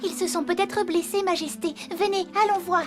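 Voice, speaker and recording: Young cartoon princess, gentle, earnest, very high childlike pitch, loud music underneath. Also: female voice, steady tone, normal speed. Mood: wistful. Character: gentle, sweet young fairy-tale princess. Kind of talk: animated fairy-tale dub.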